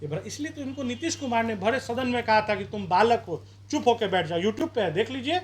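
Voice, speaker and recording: A man from Bihar, an election candidate, arguing forcefully in a street interview with rhetorical questions and campaign appeals. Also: extremely high pitch, animated, fairly quick. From Bihar, India.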